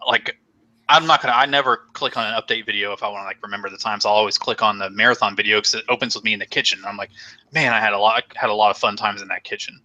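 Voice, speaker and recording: A man's voice: on the low side, fairly even intonation, racing, bright, forceful tone, poor audio. A young man hosting a casual live stream chat, rambling and joking with many fillers while losing his voice. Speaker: host.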